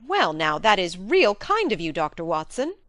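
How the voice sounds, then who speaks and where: A woman's voice: fairly low pitch, very animated, normal speed, fairly bright tone, no echo, clean studio sound. A woman voicing an eager, frank young male baronet in an audiobook story. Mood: hearty, excited.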